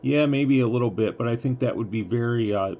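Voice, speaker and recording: A man's voice: medium pitch, brisk, warm tone. A man giving a casual hands-on product review, rambling a little as he thinks aloud, recorded on a phone. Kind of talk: casual unboxing review, talking through thoughts. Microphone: phone, handheld. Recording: clear background noise, recorded on a phone.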